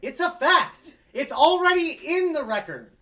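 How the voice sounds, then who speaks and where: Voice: high-pitched, measured pace, full character voice. A performer doing an earnest young lawyer. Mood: insistent. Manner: earnest, pushy, excitable. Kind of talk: comedic table read.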